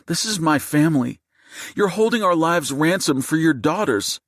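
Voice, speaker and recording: A man's voice: fairly high pitch, expressive, normal speed, no room sound, clean studio sound, voice pushed up. A man voicing a furious, defiant mother in an audiobook, seething and confrontational. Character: furious, fierce, defiant mother held at gunpoint. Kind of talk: audiobook character acting.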